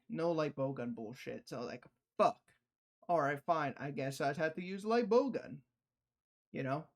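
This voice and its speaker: A man livestreaming casual reaction commentary, talking in a slangy, profane, animated storytelling way. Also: on the high side.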